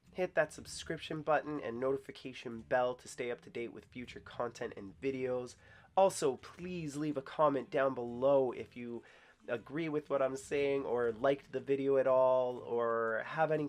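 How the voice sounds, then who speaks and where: A young man talks through his first impressions of a game as host of his own channel. His voice is stuffy from a cold and sits at an ordinary middle pitch. He keeps it upbeat and pokes fun at himself along the way. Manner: upbeat, self-deprecating.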